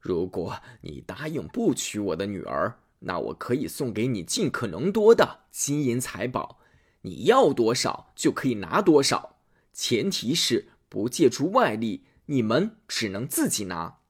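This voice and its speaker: A man voicing a scheming old king in a storybook tale, speaking low and hushed. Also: sing-song, measured pace, husky, heavily acted. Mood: bargaining.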